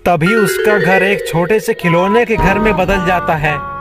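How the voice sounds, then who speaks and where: High-pitched male storyteller over music. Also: unhurried. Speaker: narrator. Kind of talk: scripted storybook narration for a cartoon.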